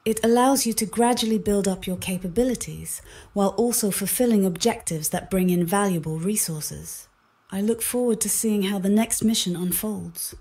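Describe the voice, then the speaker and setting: A woman's voice: medium pitch, moderate pace, dark tone, slightly rough. A synthetic female voice speaking as an AI mission companion, giving advice in a formal, articulate, composed way. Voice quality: synthetic text-to-speech voice. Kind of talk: measured advisory narration.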